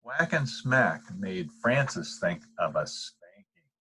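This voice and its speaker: An older man with a husky voice reading a children's bedtime story aloud. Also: sits low, very animated, takes it slowly, sharp tone, rough recording. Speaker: storybook reader.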